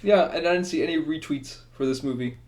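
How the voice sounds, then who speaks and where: A man's voice: fairly high pitch, brisk, a little mellow. A man co-hosting a podcast, chatting casually about films in a tongue-in-cheek, needling way. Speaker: podcast co-host. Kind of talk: casual joking film chat.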